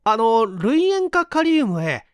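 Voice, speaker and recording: A young male research student, acted by an audiobook reader, polite and deferential. His voice is pitched high and sounds hesitant, in a dry recording. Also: big pitch swings, slow pace, clear, resonant tone, dry recording, full character voice.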